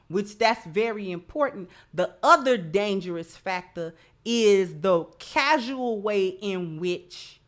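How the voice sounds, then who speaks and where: A woman's voice: medium pitch, pushed and bright. An American woman hosting opinionated live commentary, speaking emphatically and repeating phrases for stress. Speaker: host of a commentary show.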